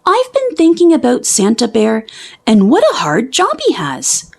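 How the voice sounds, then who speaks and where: Woman voicing a high-pitched, curious little girl bear. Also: vocal fry, darkish tone, heavily acted. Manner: wondering, questioning. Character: young girl bear cub, earnest and curious. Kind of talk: children's storybook character voice.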